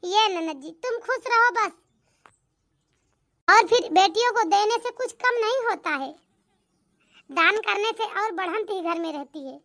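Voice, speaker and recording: A woman voicing a gentle, generous young wife who gives away her things. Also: very high-pitched, expressive, a little slow, pauses often, full character voice. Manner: gentle and giving. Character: kind generous young wife, daughter-in-law.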